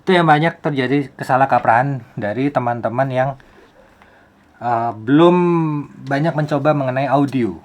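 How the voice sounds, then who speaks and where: A man's voice: medium pitch, moderate pace, slight background noise. This is a man hosting a casual how-to video. He talks in an informal, explanatory way. He often poses rhetorical questions and repeats phrases to drive a point home. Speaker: YouTube host, film soundman. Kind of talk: casual tutorial.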